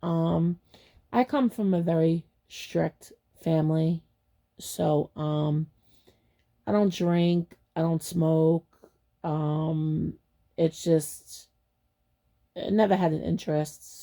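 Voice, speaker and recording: A woman's voice: low voice, steady tone, slow, vocal fry, mellow. A woman hosting a faceless storytime channel, telling a personal story in a rambling, chatty way and acting out her own reactions. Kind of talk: casual storytime monologue.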